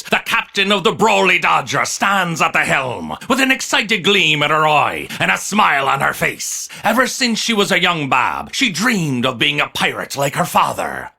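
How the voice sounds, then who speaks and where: A man playing a wry, folksy storyteller with a raspy, gravelly voice, narrating a pirate audio drama. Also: a little high, bright, forceful tone, heavily acted.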